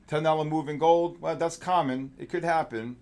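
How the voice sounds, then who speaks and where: A man's voice: a little high, darkish tone. A middle-aged man with a New York accent runs a live market stream. He talks in loose, rambling, jokey bursts and calls out viewers by name. Now and then he stretches out a name like a ballpark announcer. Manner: drawn-out announcer-style calls. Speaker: trading livestream host. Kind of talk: casual live market chat.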